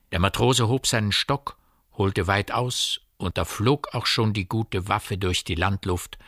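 An actor narrating a dark literary radio play as a sober, detached, articulate storyteller. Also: male voice, fairly low pitch, no room sound, clean studio sound, full character voice.

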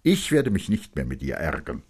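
A man's voice: extremely low pitch, huge pitch swings, moderate pace, darkish tone, slightly rough, exaggerated voice. A man voicing a stern, cold mother who gives her young son hard, clipped orders in a literary reading. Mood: cold reproach. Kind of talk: literary audiobook character reading.

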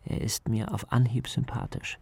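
A man's voice: medium pitch, level tone, moderate pace, a little mellow, slightly rough, dry recording. A man narrating a radio documentary from a script, as its author telling the story.

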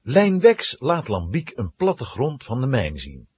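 A man's voice: mid-range voice, wildly swooping pitch, measured pace, a little mellow, breathy edge. A man narrating a children's adventure story as a dramatic, scripted storyteller.

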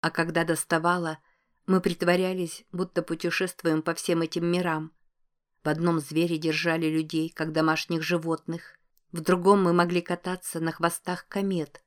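A woman's voice: lowish voice, little pitch movement, moderate pace, lightly acted. A woman voicing a guarded, emotional teenage girl in an audiobook, choosing her words carefully as she shares memories. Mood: wistful, opening up. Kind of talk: audiobook character dialogue.

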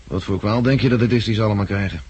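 An actor with a Netherlands Dutch accent playing a blind, plain-spoken war veteran, wry and stoical, in a 1960s radio drama. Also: male voice, on the low side, rapid-fire, a little mellow, slightly rough, highs cut off. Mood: wry, resigned. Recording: noisy, clicky 1960s radio recording.

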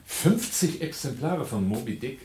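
An actor in a German radio play as an urbane, wary importer, speaking and narrating with dry, polite irony. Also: male voice, mid-range voice, very animated, unhurried, heavy background noise.

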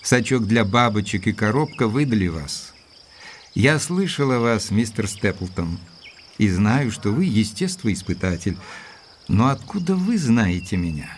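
An actor playing an earnest, guarded gentleman doctor in an audio drama, narrating in a measured, literary and formally courteous way. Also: lowish voice, sing-song, slight background noise. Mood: guarded, polite.

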